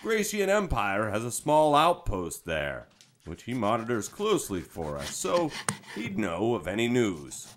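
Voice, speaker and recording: A man's voice: lowish voice, wildly swooping pitch, a little slow, background noise. A man acting as an easygoing, practical, self-deprecating spaceship crew leader in an audio drama. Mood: relaxed, explanatory.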